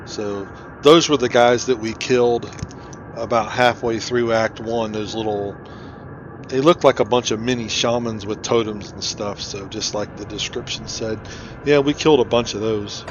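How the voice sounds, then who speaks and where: A man's voice: on the low side, steady tone, moderate pace, muffled recording, background noise. A man giving casual, conversational commentary as he hosts a video game playthrough. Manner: informal, conversational. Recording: game music behind voice. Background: game music.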